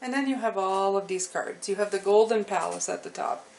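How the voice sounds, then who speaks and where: A woman giving an unscripted, reassuring tarot reading to her viewers, often pausing to check in with the listener. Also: mid-range voice, gravelly, a little mellow, low-quality recording. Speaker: tarot reader hosting a channel.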